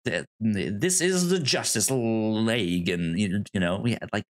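A podcast co-host casually discussing films and comics in a halting way, often repeating sounds and words. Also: mid-range voice, lively intonation. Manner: halting, frequent stammered repetition of sounds and words.

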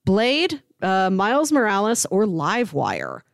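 A woman's voice: medium pitch, huge pitch swings, measured pace, creaky, no echo, clean studio sound. A woman co-hosting a podcast, talking casually and reading out short blurbs. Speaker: podcast co-host.

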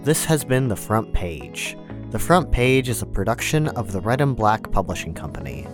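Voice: medium pitch, very animated, moderate pace. Polite podcast host over faint music. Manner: polite, brief interviewer prompts. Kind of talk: interview questions and scripted outro.

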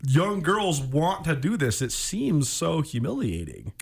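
A man hosting a radio talk show in a reflective, conversational way, musing philosophically and somewhat haltingly. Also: fairly high pitch, wildly swooping pitch, creaky, crowd noise.

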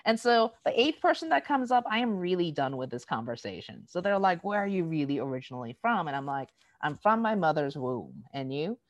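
Low-voiced woman telling stories animatedly. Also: brisk, few pauses, no echo, highs cut off. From United States. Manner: animated storytelling, acts out quoted dialogue. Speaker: diversity educator. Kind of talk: conversational interview.

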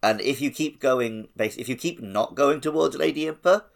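A man's voice: mid-range voice, normal speed, gravelly. A man hosting a podcast, talking casually and conversationally about video games. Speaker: podcast host. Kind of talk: casual video game chat.

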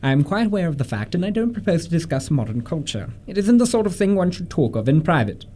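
A man acting in a radio play as an earnest, easily indignant young gentleman in a comedy of manners, speaking formally and emphatically. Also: on the high side, very animated, fast talker. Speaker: amateur radio theatre actor.

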